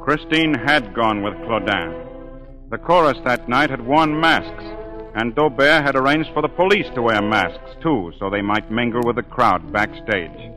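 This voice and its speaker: A man's voice: medium pitch, measured pace, pushed and bright, soft background music. A man reading scripted narration for a 1940s radio drama.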